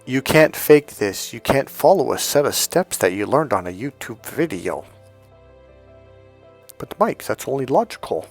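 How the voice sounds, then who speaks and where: Male dating coach, mid-pitched voice, blunt and profane, talking straight to camera. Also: very animated, a little slow, faint music behind. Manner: blunt, swearing, emphatic. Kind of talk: casual profane rant to camera.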